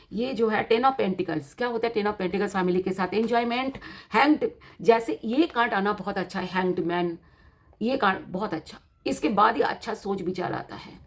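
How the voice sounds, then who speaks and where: A woman with an Indian accent and a slightly worn voice gives an informal tarot reading, explaining each point in a teacherly, encouraging way and often repeating and rephrasing it. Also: mid-range voice. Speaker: tarot card reader and host.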